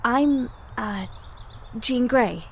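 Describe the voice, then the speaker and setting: A woman's voice: a little high, lively intonation, very slow, slight background noise, exaggerated voice. A young woman playing a nervous, hesitant teenage newcomer in an audio drama. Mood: nervous, polite. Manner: hesitant, reflective. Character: nervous teenage girl newly arrived at a mutant school.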